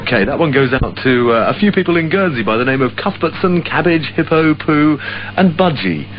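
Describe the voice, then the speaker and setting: A man's voice: a little high, very animated, fairly quick. A man presenting a late-evening radio show from a ship's studio, easygoing and chatty with small hesitations. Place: offshore radio ship studio. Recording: dull AM radio aircheck with music under.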